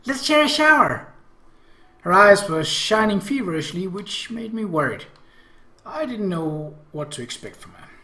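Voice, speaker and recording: Game streamer reading a story out loud, wry comments, very up and down voice, echoey room. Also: medium pitch, expressive, normal speed. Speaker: let's play host. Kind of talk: casual game commentary mixed with story read-aloud.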